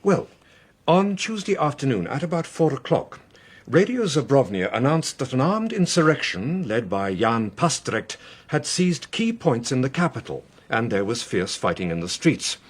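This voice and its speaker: Radio drama: government investigator, slightly rough voice, expressive pitch, methodical, polite, in command. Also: male voice, moderate pace. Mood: methodical explaining. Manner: methodical, courteous, authoritative. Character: measured, probing male British government official.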